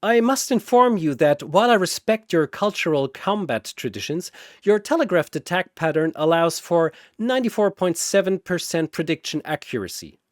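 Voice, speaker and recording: A man's voice: high voice, expressive, moderate pace, hardly any pauses, no echo, clean studio sound, voice pushed up. A man voicing a polite, logical male android in a story, formal and pedantic. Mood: precise, formal.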